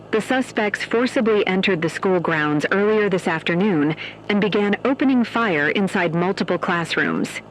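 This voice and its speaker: Serious male newsreader, played by an actor, formal broadcast delivery, high register, slight background noise. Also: a bit bright, rough recording. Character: serious male TV news anchor. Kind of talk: scripted breaking-news broadcast.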